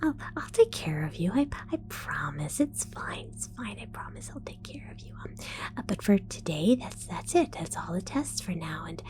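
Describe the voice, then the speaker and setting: A woman voicing a scatterbrained female mad scientist in an ASMR roleplay, whispering softly, giggling and stammering as she falsely reassures. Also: lowish voice, sing-song, moderate pace, low-quality recording. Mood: sly whisper. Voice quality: soft whisper.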